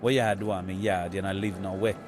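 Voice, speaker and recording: An actor with a Jamaican accent playing a man caught between two women, talking in excitable patois in a comedy skit. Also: deep voice, over music, close to an everyday voice. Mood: indignant. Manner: excitable, rapid indignant patois.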